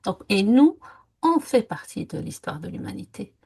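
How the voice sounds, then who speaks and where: Woman, podcast co-host; gravelly, slightly husky, reflective, hesitant delivery. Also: mid-range voice, big pitch swings, moderate pace, mellow. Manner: reflective, earnest, many hesitations. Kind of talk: casual conversational podcast. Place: lived in France.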